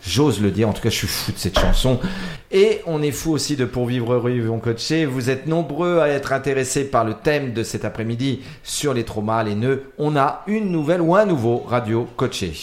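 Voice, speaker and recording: A man's voice: mid-range voice, lively intonation. A man hosting a radio talk show, chatty and theatrical, leading guests and callers. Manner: warm, theatrical, quotes lyrics slowly. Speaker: radio host.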